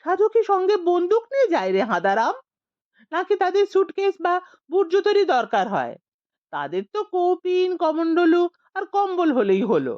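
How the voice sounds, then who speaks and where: A woman voicing a fussy, sharp-tongued elderly village aunt who scolds and exclaims. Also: very high voice, sing-song, moderate pace, no echo, highs cut off, voice pushed up. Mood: scolding.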